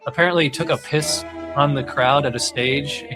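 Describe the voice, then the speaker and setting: A man's voice: fairly high pitch, normal speed. A man hosting a podcast in a casual chatting style, steering the conversation. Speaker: podcast host. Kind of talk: casual music chat. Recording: music clearly playing behind voice.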